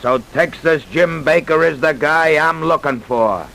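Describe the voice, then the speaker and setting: An actor playing a plain-spoken, sceptical police officer with folksy speech in an old radio drama. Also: male voice, fairly high pitch, a little slow, gravelly, bright, forceful tone, full character voice. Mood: sceptical, matter-of-fact. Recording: hissy old radio recording.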